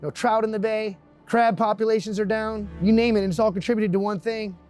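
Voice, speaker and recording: A woman's voice: medium pitch, fairly even intonation, brisk, a little mellow, faint music behind. A woman from Hawaii speaking in a documentary interview. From Hawaii, United States.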